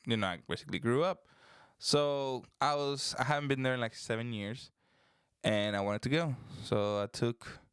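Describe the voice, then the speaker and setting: A man's voice: medium pitch, smooth, dry recording, studio quality. A young man from the Dominican Republic, rambling in casual chat as a podcast host. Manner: relaxed, rambling, says "bro".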